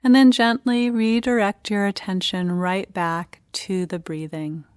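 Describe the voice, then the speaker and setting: A woman leading a guided meditation, speaking in short, gentle phrases with unhurried pauses. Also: medium pitch, steady tone, clean tone, no echo, clean studio sound.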